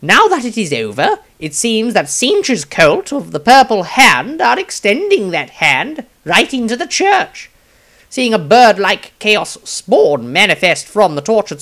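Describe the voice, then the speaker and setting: A man voicing an excitable, opinionated wizard who scorns religion, reading his fantasy diary with theatrical exclamations. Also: high voice, unhurried, voice pushed up, full character voice. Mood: excited, scornful.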